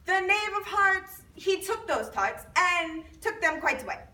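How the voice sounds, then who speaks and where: Woman doing storybook bit parts in readers theater, super high bright voice, echoey far mic. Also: big pitch swings, a little slow, exaggerated voice. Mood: announcing. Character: narrator, herald and small grumbling creature in storybook play. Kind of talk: readers theater stage performance. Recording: distant echoey room, faint noise.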